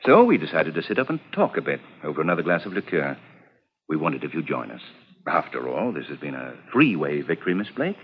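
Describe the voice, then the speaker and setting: An actor playing a suave, sinister, jealous scientist in a 1940s radio drama, with a silky, insinuating, theatrical delivery. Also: male voice, rumbling low, very animated, fast talker, breathy edge, exaggerated voice. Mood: smooth, coaxing. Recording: muffled, slightly noisy old radio recording.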